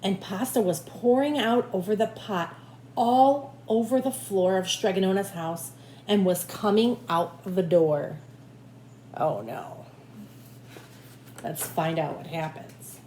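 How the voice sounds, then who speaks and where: A woman's voice: medium pitch, takes it slowly. Woman reading a children's story, echoey room. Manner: animated, addresses young listeners directly. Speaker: teacher reading a picture book aloud. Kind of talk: expressive children's read-aloud with asides to listeners. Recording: echoey room with faint background noise.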